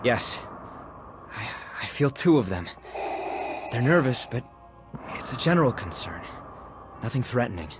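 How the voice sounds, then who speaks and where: Slow, hesitant young apprentice. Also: male voice, medium pitch, sing-song. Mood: unsure. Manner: halting, unsure. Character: hesitant, self-doubting young Jedi apprentice. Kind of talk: video game character acting. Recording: loud music behind.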